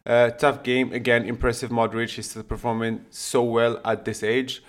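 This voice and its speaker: A man with a mid-range voice co-hosts a casual football podcast. He talks bluntly and offers strong opinions, swearing freely in relaxed, conversational phrasing. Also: medium pitch, moderate pace. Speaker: podcast co-host.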